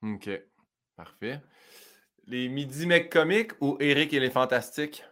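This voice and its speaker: A man's voice: mid-range voice, a bit bright. Easygoing Québécois host man. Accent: Québécois French. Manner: laughs easily, short quick questions. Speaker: podcast host. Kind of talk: casual podcast interview.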